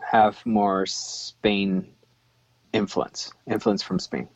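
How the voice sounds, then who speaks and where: A man's voice: on the low side, level tone, drawn-out words, dry recording, dull audio. A man from Chile whose first language was Swiss German, talking in a casual interview with many ums and restarts.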